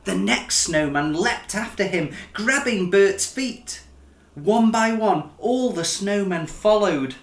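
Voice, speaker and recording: A man narrating a children's picture book in an expressive storytelling style, as the author reading aloud. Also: high voice, reverberant.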